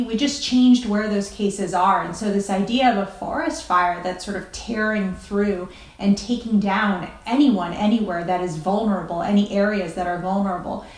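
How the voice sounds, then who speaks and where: A woman with an American accent answering as a doctor in an interview, measured and reflective, searching for words. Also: medium pitch. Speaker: interview guest, critical care doctor. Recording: roomy, echoey remote sound.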